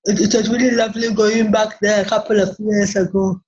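A Ghanaian woman in her forties, a software engineer talking about herself haltingly, with many repeated words, on a video call from a small office. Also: medium pitch, little pitch movement. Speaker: interview guest, software engineer. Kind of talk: casual interview talk. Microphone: laptop webcam on a video call. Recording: muffled video call audio.

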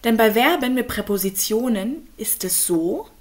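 A young woman, a native speaker and German teacher, calmly explaining grammar in an online lesson with worked examples. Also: a little high. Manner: slow, clear, patient explaining with examples.

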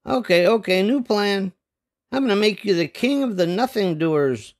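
An older man voicing restless, imaginative young boys in an expressive, dramatic storybook read-aloud. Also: high voice, normal speed. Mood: insistent. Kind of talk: animated storybook read-aloud with character voices.

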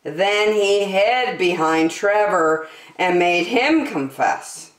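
An older woman hosting story time, reading a picture book aloud to young children with expressive, drawn-out emphasis. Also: mid-range voice, distant mic. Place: small decorated indoor room. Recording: roomy echo.

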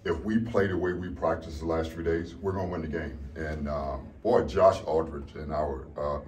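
A middle-aged man, a head basketball coach, giving an upbeat postgame interview in an arena corridor, rambling and restarting his sentences. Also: very deep voice. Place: arena corridor by a painted wall, indoors. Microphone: handheld camera from a few feet off. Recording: distant mic with arena noise.

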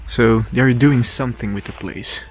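A man's voice: medium pitch, normal speed, soft background music, low-quality recording. A man casually narrating his walk around an abandoned villa outdoors, enthusiastic and full of fillers, speaking into a handheld camera mic. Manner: chatty, enthusiastic, many fillers. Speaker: urban explorer vlogger. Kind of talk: casual walkthrough commentary.

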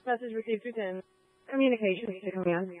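A woman working as an emergency communications dispatcher, talking briskly and procedurally over the radio. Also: fairly high pitch, no echo, phone-quality sound.

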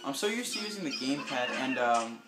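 A man casually commenting while he plays a video game, slightly raspy and hesitant, with lots of ums, filmed off a TV at home. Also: medium pitch, very animated, fairly quick, very noisy. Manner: rambling, hesitant with many ums. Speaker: gameplay video host. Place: home room with TV, filming screen. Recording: echoey room, game music behind.

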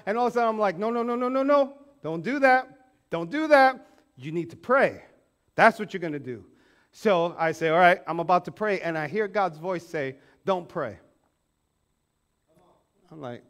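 A man in his forties preaching a sermon on a church stage, animated, acting out quoted voices and swinging from loud to hushed. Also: high-pitched. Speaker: pastor. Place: church stage with band instruments.

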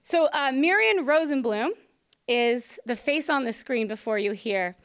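A woman's voice: high-pitched, expressive, measured pace, clear, resonant tone. A woman hosting a public event and introducing a speaker, partly reading, in a meeting room. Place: meeting room indoors with audience.

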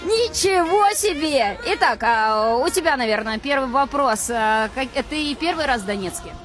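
Bubbly high-voiced woman reporter. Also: expressive, normal speed. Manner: bubbly, upbeat questioning. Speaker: street reporter. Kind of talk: lively vox-pop interviewing. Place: city street at night, outdoors. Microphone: handheld mic. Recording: noisy outdoor street recording. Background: loud music and cheering crowd.

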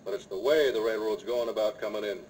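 A grave, weary American frontier mayor, voiced by a male actor in a vintage radio western. His voice is on the low side and folksy. It sits slightly away from the mic with faint noise behind it. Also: quick pace, a little mellow, lightly acted. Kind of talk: old-time radio western acting. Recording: distant, noisy vintage radio recording.

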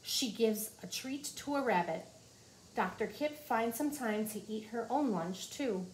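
A woman's voice: on the high side, animated, unhurried. Woman reading aloud, noticeable background noise. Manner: addresses young listeners with questions and simple explanations. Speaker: teacher reading aloud to children. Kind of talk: children's nonfiction book read-aloud with asides. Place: small indoor office. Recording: clearly noisy room ambience.

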